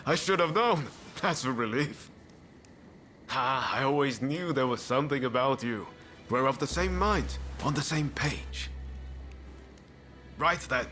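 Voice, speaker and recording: An actor playing an earnest, take-charge rebel comrade in samurai-era Japan for a video game, speaking in a brisk, friendly way. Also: male voice, mid-range voice, animated, sharp tone, soft background music. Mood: relieved, upbeat. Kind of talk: dramatic video game voice acting.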